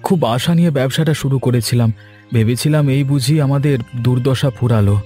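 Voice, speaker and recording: A man's voice: medium pitch, a little fast, warm tone, faint music behind, close to an everyday voice. A man voicing a humble, trusting village fish seller in a fairy-tale cartoon, speaking respectfully and earnestly. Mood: worried.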